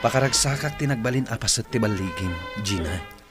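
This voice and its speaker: A man's voice: on the low side, lively intonation, brisk, loud music behind the voice, lightly acted. A man acting the part of an earnest, loving young boyfriend in a radio drama. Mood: loving, proud.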